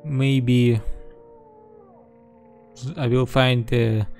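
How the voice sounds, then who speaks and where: A man giving in-character gameplay commentary, halting and musing to himself. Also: medium pitch, level tone, creaky, darkish tone. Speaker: gamer doing a roleplay playthrough. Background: game music.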